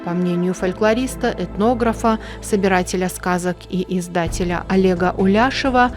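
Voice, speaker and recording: A woman's voice: fairly low pitch, moderate pace. Woman narrating a documentary over prominent music. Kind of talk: scripted narration.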